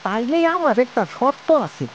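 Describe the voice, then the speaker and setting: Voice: medium pitch, expressive, normal speed, fairly bright tone, dull audio, slight background noise, close to an everyday voice. A performer playing a callous, scheming old male boatman in a cartoon, speaking a rural Bangladeshi dialect with blunt, mocking delivery. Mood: callous bargaining. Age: older. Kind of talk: cartoon story dialogue. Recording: voice over music and rain.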